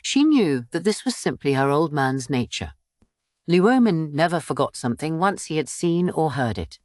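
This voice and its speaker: A woman's voice: low-pitched, sing-song, moderate pace, darkish tone, no echo. A woman reading scripted story narration for an audiobook.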